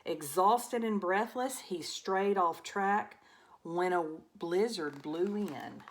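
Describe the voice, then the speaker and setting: A woman reading a rhyming children's storybook aloud as an expressive narrator. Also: medium pitch, slow. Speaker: storybook reader.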